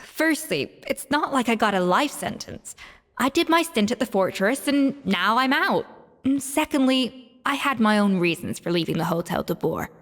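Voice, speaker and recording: A woman's voice: on the high side, animated, moderate pace, fairly bright tone. A woman playing a blunt, imperious young pastry chef in a game, with a theatrical no-nonsense manner. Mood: firm, forgiving. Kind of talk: English game voice acting. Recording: long reverb over background music.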